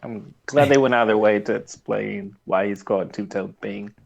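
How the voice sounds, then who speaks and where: A man's voice: fairly low pitch, moderate pace, gravelly, low-quality recording. A man chatting casually as a podcast host, rambling and correcting himself as he goes.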